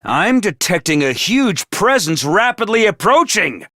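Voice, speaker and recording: A shrill, manic little game mascot. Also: male voice, very animated, a little slow, sharp tone, dry recording, clean studio sound, full character voice. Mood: excited urgency. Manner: hyper, theatrical, gleeful and taunting. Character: manic, mischievous small male academy mascot commander. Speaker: game character voice lines. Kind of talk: game voice acting.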